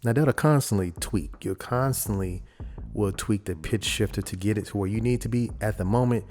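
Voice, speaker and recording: A man with a low voice talks through a software tutorial in an informal, explanatory way, thinking aloud. There is faint noise and some clicking behind him. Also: moderate pace. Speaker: audio editing tutorial presenter. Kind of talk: casual software screen tutorial.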